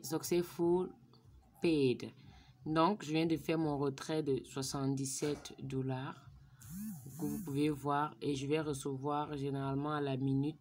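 A woman with a low, deep voice talks through a screen-recorded phone tutorial. She thinks aloud while typing in numbers, sometimes sinking to a quiet murmur. Her voice is picked up by a phone microphone, with a faint hiss behind it. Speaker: vlogger.

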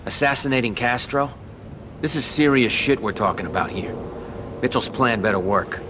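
A man's voice: mid-range voice, lively intonation, moderate pace, natural voice. A man playing a guarded, skeptical mafia boss in a video game cutscene, speaking tersely. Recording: faint outdoor background noise.

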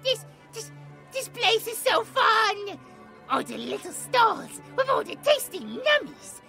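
A man's voice: very high-pitched, big pitch swings, takes it slowly, creaky, sharp tone, soft background music, exaggerated voice. A British man voicing a childlike, clingy possessed demon who talks about himself in the third person with babyish words. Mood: excited.

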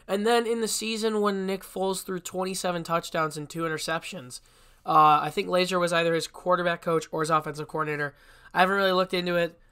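A man's voice: fairly high pitch, bright, forceful tone, smooth. Stuffy-nosed young man hosting a podcast. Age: young adult. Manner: chatty and blunt, with frequent restarts. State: stuffy, congested from a cold. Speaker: podcast host. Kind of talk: casual solo sports podcast.

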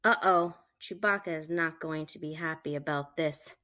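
A woman reading a picture book aloud to children, with animated, emphatic storytelling delivery. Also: deep voice, moderate pace. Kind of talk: expressive read-aloud narration for children.

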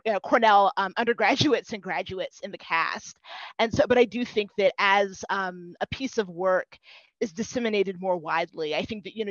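A woman speaking on a video-call panel from home in a careful, semi-formal, halting way. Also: mid-range voice, fairly bright tone, no echo. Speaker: panelist, theatre practitioner. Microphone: headset. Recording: dull, lo-fi video-call audio.

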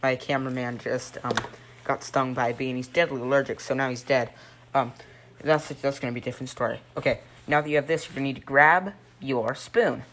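Teen boy host, deadpan joke cooking show, phone-filmed in a kitchen, hissy audio. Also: mid-range voice, fairly quick. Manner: deadpan, rambling, with ums and mock instructions. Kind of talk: joking mock cooking show. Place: home kitchen, indoors. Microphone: phone camera held by a friend. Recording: noisy home phone video.

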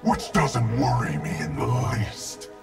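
A menacing ninja wraith, with a heavily gravelled growl and wild swings in pitch. He declaims cold, vengeful threats slowly, over loud video game music. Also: big pitch swings, exaggerated voice. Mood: defiant. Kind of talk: fighting game character dialogue.

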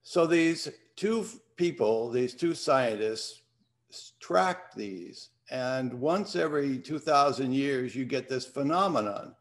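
A man's voice: medium pitch, animated. An older man hosting from his home study over a video call, talking in a reflective way and reading a poem aloud. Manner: unhurried, reflective. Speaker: host. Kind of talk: casual talk and poetry reading. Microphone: video call webcam.

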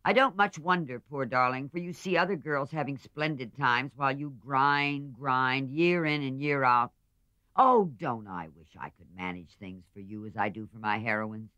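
A woman's voice: very deep voice, normal speed, bright, forceful tone, no echo, dull audio. A woman voicing a hot-tempered, passionate teenage girl in a storybook, with emphatic, dramatic delivery. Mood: excited, sympathetic.

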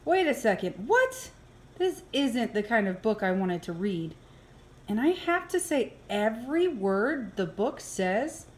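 A woman reading a children's picture book aloud in an expressive, playful way, with exaggerated reactions. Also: fairly high pitch, a little slow. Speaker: early childhood program coordinator reading aloud. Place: indoors, filmed over an open book.

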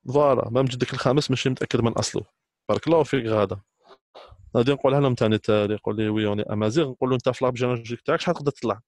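A man's voice: medium pitch, rapid-fire, darkish tone, dry recording, studio quality. A man in his thirties with an Algerian accent, talking informally as a trainer, emphatic and repetitive, switching between languages. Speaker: trainer speaking to students. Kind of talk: informal talk to camera, switching between French and Arabic.

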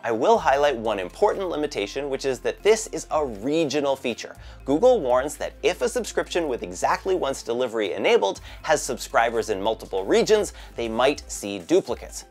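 A man in his thirties presenting scripted monthly tech news from a bright home office, with a lively, lightly joking delivery. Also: medium pitch.

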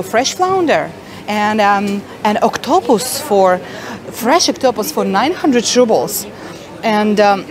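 A middle-aged woman whose first language is Russian, talking in a casual travel vlog to her phone with many fillers and asides to viewers. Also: mid-range voice. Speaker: vlogger. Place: busy indoor market hall. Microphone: phone at arm's length.

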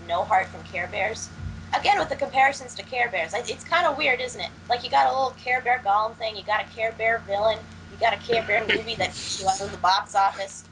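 A young woman co-hosting a casual film discussion over a video call from home, quick, wry and teasing as she argues her opinions. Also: a little high, fairly bright tone, a little hiss or hum, rough recording. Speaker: podcast co-host. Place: home room, indoors.

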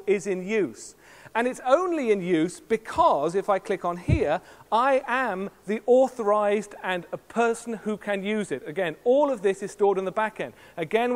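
Jokey high-voiced man presenting on stage. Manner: lively, jokey showman with sound effects. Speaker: keynote presenter. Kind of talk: live product demo on stage. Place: large conference hall stage. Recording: long hall reverb.

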